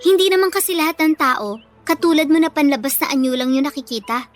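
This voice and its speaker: A woman's voice: high voice, fast talker, faint music behind, natural voice. A woman playing a meek but steadfast bullied teenage schoolgirl in a radio drama, pleading and earnest, with a Filipino accent. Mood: earnest, firm.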